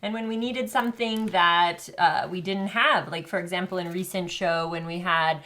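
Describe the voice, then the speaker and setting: Low-pitched woman explaining crafts gently. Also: fairly even intonation, moderate pace. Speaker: co-host of a children's show. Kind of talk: casual explanatory craft demonstration.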